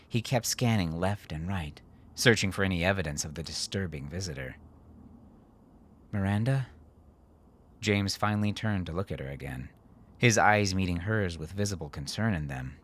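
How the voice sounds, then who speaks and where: A man with a low voice narrates a dramatic story. His delivery is measured and deliberate, in the manner of a scripted audiobook. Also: a bit bright.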